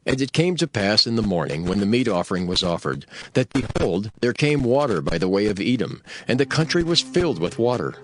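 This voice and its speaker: A man's voice: lowish voice, very animated, a little fast, hardly any pauses, a bit bright. A man narrating dramatized scripture in a formal, solemn storytelling manner.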